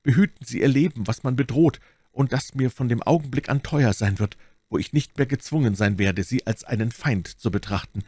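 A man's voice: medium pitch, a little fast, mellow, breathy edge, no room sound, pitched above the performer's own voice, close to an everyday voice. A man voicing a servant who reads a queen's letter aloud, formal and beseeching, in an audiobook. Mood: beseeching, solemn. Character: queen's imploring letter, read aloud by manservant. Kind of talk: dramatic audiobook letter reading.

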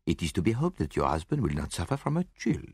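A man voicing a shrewd, courteous detective in a mystery audiobook, theatrical and gently mocking. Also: sits low, wildly swooping pitch, quick pace, slightly rough, no echo, studio quality, exaggerated voice. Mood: suave mockery. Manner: courteous, mildly reproving, theatrical.

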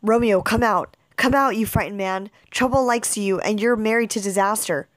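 Brief: stern older friar, a woman reader dropping her voice, forceful and urgent. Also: medium pitch, moderate pace, no echo, pitched below the performer's own voice, lightly acted. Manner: forceful calling out.

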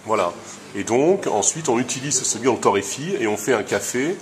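A man's voice: mid-range voice, lively intonation, fairly quick. Male interviewer, noisy room. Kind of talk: informal interview. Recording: clearly noisy room ambience.

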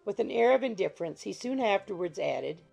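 A woman's voice: medium pitch, lively intonation, fairly quick, vocal fry, a little mellow, breathy edge, poor audio. A woman reading scripted literary narration as the narrator of an audiobook. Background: faint music.

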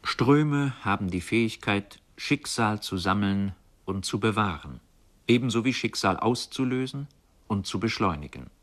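A man reading literary radio narration in a measured, solemn manner. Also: on the low side, lively intonation.

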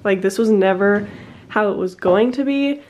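A woman's voice: medium pitch, moderate pace, a little mellow. A young woman in her twenties chatting casually to camera in her home office, congested and a little short of breath, rambling with lots of fillers. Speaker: vlogger. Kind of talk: casual vlog chat. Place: small home office, indoors.

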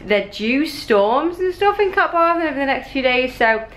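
A woman's voice: high-pitched, animated, moderate pace, a bit bright. A British woman in her thirties chatting casually and informally to a handheld camera as a travel vlogger. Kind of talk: casual handheld vlog chat. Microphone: camera at arm's length. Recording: noisy street or room ambience.

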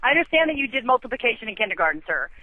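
A woman's voice: fairly high pitch, big pitch swings, racing, crowd noise. An American woman working as a customer service agent, businesslike and matter-of-fact, heard over a telephone line. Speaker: storage rental phone agent. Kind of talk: customer service phone call.

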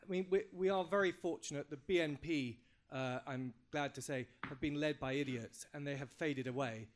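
A man's voice: fairly high pitch, normal speed. A man in the audience giving a rambling preamble before asking his question on a handheld microphone. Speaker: audience member. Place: conference room.

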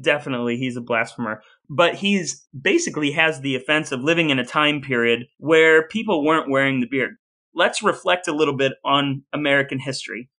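A man with a bright, forward voice speaks as a podcast co-host. He tells history in an informal storytelling way, dropping in quotations from written accounts. His pitch sits in the middle range for a man. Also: brisk.

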